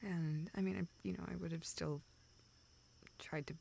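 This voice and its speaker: A young woman playing a self-deprecating, vulnerable friend in an intimate audio roleplay, speaking in halting, self-interrupting dialogue. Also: fairly low pitch, steady tone, vocal fry, mellow, slightly rough, full character voice. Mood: subdued, dejected. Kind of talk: intimate, naturalistic one-sided dialogue.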